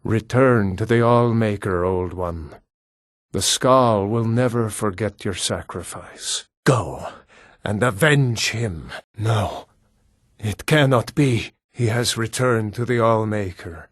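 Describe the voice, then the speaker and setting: An actor playing a proud, solemn Nord hunter and warrior in a video game, speaking in a measured, formal way. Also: male voice, lowish voice, dry recording, clean studio sound. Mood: grave. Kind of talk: scripted video game character dialogue.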